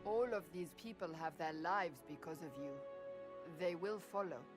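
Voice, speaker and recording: A woman playing a grave, resolute warrior advisor in a fantasy video game, speaking in measured, formal lines. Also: fairly low pitch, over music, natural voice. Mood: earnest conviction. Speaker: game character actor. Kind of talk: dramatic video game dialogue.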